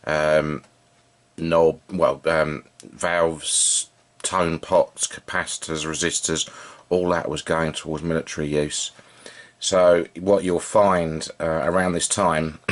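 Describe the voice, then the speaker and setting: Very deep-voiced man, halting and informal. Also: fairly bright tone. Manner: halting, informal, thinking aloud as he works. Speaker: vintage radio repair hobbyist and video presenter. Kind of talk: unscripted hands-on demonstration commentary.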